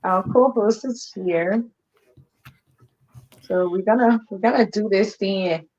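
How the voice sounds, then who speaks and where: A Liberian woman hosting a casual live chat, telling stories in a relaxed way with colloquial slang and frequent laughter. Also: takes it slowly. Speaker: host. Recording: muffled low-fidelity stream audio.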